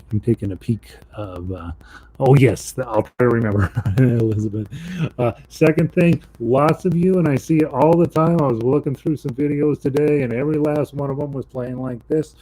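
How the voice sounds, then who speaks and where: A man's voice: dark tone, husky, low-quality recording. A man teaching a live online ukulele class from home, talking casually with lots of ums and restarts into a desk microphone. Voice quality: low voice. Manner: casual, rambling, with many ums and self-corrections. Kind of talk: live online music lesson. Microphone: voice mic and separate instrument mic.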